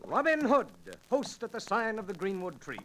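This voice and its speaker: An actor playing a bold, passionate young outlaw leader in a 1940s radio adventure, with declamatory archaic speech. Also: male voice, high-pitched, very animated, moderate pace, a bit bright. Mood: defiant, commanding. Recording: noisy 1940s radio recording with clicks.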